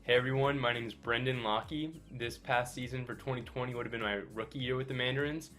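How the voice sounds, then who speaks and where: A young man from San Diego, a college student and drum major, speaking briskly and matter-of-factly over a video call. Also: medium pitch, steady tone, fairly bright tone, faint music behind. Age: young adult.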